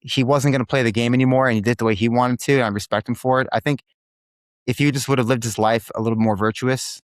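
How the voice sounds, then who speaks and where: A man's voice: medium pitch, fairly even intonation, quick pace, dry recording, clean studio sound. A man in his forties, a podcast guest telling his life story candidly and with self-deprecating humor, often restarting his sentences. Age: 40s.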